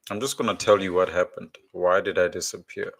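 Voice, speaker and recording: A man's voice: sits low, fairly even intonation, brisk, creaky, fairly bright tone, no echo, studio quality. A man hosting a casual religious livestream, congested with a blocked nose and sore throat, rambling and repeating words as he searches for them. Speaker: livestream host.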